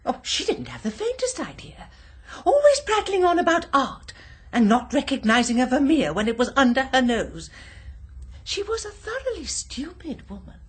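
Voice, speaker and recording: An actress playing a prim, fussy, genteel English lady murderer who is quietly unhinged, in a radio drama. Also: sits high, wildly swooping pitch, normal speed, slightly rough, heavily acted. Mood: bitter, hysterical.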